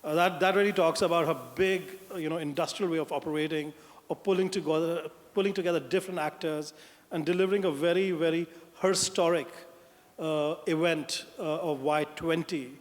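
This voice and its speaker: A man's voice: on the high side, fairly even intonation, a little fast. A man from India moderating a panel, introducing speakers with effusive, long-winded praise. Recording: echoey hall.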